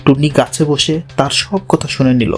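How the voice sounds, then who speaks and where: A man's voice: medium pitch, steady tone, normal speed. A man narrating a scripted children's fairy tale as storyteller. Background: music.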